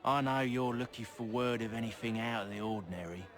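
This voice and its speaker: An actor playing a brash, scrappy young rogue and fixer in a colonial-era video game, slangy and cheeky. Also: male voice, medium pitch, fairly quick, soft background music, lightly acted. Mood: earnest, obliging. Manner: slangy, cheeky, rough-spoken. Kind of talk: video game cutscene acting.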